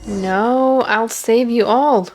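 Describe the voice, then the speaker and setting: Unhurried woman game streamer. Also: a little high, darkish tone, poor audio. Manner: reacting aloud to the game. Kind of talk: casual live gameplay commentary. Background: faint game music.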